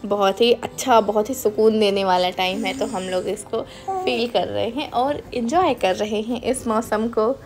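A woman in her thirties narrating a family trip in a calm, unhurried, explanatory voice-over. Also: mid-range voice.

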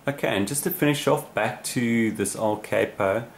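A man's voice: medium pitch, normal speed. A man giving a casual, unscripted hands-on demonstration, explaining in a rambling way as presenter. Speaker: radio hobbyist presenter. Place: indoor radio desk, off camera. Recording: clear background noise, radio hiss.

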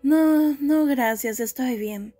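A woman's voice: high voice, slow pace, warm tone, soft background music. A woman voicing a tough, aloof young woman brawler in a fan comic dub, with a brusque, exclamatory manner.

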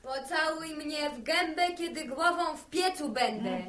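A woman's voice: high-pitched, expressive, unhurried, a crowd in the background. An actress playing a saucy, mocking village girl in a 1960s radio drama.

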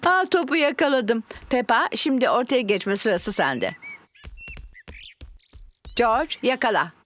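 Cartoon mummy pig: gentle, playful, animated, fairly high voice. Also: female voice, slow, soft background music. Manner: gentle, encouraging. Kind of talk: children's cartoon dub.

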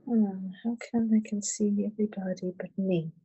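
A woman on a video call quietly muttering to herself, puzzled, as she tries to work out the call settings. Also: mid-range voice, steady tone, normal speed, slightly rough, dull audio.

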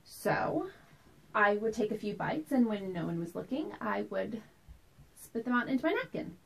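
A woman performing a theatrical monologue as a wistful, anxious woman recalling tense family dinners from her childhood, told deliberately with dramatic pauses. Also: mid-range voice, very animated, gravelly, poor audio. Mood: wistful, uneasy.

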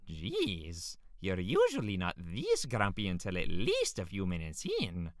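A man's voice: low-pitched, wildly swooping pitch, measured pace, no echo, clean studio sound, exaggerated voice. A man voicing a cheerful, teasing plumber hero who hosts a cartoon show with chipper animation. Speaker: show host. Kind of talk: cartoon character fan dub.

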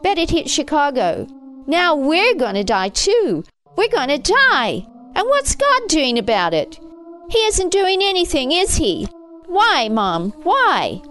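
A woman's voice: sits high, lively intonation, normal speed, few pauses, darkish tone, pitched above the performer's own voice. A woman voicing a frightened, whiny, bitter teenage boy in an audiobook, in a wailing, complaining manner. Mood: panicked. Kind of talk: audiobook character voice.

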